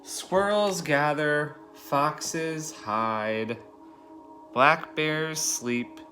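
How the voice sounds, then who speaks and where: A man's voice: fairly high pitch, extremely slow, pushed and bright, faint music behind. A man in his thirties, a preschool teacher reading a picture book aloud and talking simply and directly to young children. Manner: addresses small children directly with questions and simple explanations. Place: living room at home, indoors.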